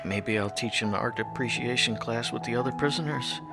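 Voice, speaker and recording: An actor playing a nervous, hapless art museum director in a comic audio drama, flustered, wry and pleading. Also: male voice, mid-range voice, fairly quick, creaky, over loud music, close to an everyday voice. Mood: glum, nervous.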